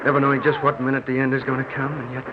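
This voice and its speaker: A man's voice: medium pitch, completely flat delivery, racing, natural voice. A man playing a cynical, jittery young soldier with a slangy, skeptical manner in an old radio drama. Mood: wry, cynical. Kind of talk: 1940s radio drama acting. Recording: muffled, noisy old radio recording.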